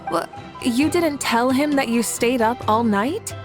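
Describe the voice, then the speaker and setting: A confident young woman leader in a video game, played by an actress. Her voice is fairly high, composed and reassuring, with faint music behind it. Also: measured pace, lightly acted. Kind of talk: video game character acting.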